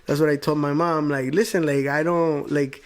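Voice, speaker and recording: Animated Mexican-accented man, slightly high voice. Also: smooth. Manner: animated, fast-talking, says "like" and "bro" often. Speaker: podcast guest. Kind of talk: casual chat.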